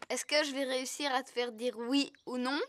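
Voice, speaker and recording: A woman's voice: high-pitched, steady tone, a little fast, a bit bright, dry recording. A snooty little boy squirrel. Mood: haughty. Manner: haughty, grown-up airs. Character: snooty young boy squirrel acting grown-up. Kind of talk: French cartoon dub.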